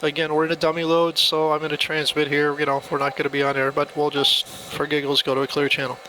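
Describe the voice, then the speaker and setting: Man, slightly high bright voice, casual demonstrator, step-by-step explaining, faint background noise. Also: monotone, fast, rough recording. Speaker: product demonstrator. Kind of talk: casual hands-on tutorial.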